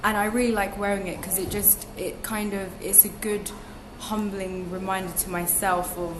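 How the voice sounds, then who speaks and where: A woman's voice: mid-range voice, little pitch movement, normal speed, background noise. A young woman talking as a podcast guest, reflective and chatty, with many ums and restarts. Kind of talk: casual interview chat. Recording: echoey room with music behind.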